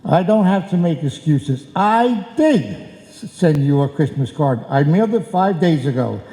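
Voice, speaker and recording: Echoey live hall, higher-pitched mellow male voice, actor as exasperated henpecked husband, wisecracking, argumentative, old-time radio comedy. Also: expressive, unhurried.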